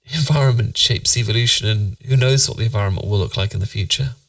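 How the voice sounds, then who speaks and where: A British man playing a tender, playful boyfriend in a bedtime ASMR roleplay, musing softly and intimately to soothe his partner to sleep. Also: lowish voice, fast talker, gravelly, dry recording, clean studio sound, distant mic. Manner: soft, intimate, soothing, musing, occasionally near whisper.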